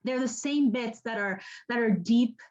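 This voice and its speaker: An actress playing an earnest, haunted woman in an audio drama, speaking haltingly and searching for her words. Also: on the high side, darkish tone, highs cut off, natural voice. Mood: tender, reflective. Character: earnest, haunted woman running an apocalypse support group. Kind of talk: naturalistic podcast radio drama acting.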